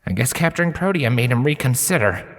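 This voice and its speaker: A man voicing an anxious male unicorn's worried, hushed inner monologue in a storybook reading. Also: medium pitch, animated, a little fast, long reverb tail, voice pushed up, lightly acted. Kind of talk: storybook character voice in fan fiction reading.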